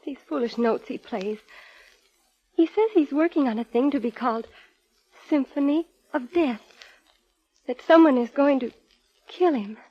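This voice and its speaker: An actress playing an anxious, halting, earnest woman seeking help for her brother in a 1950s radio drama. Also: high-pitched. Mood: anxious, pleading. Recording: dull vintage radio recording.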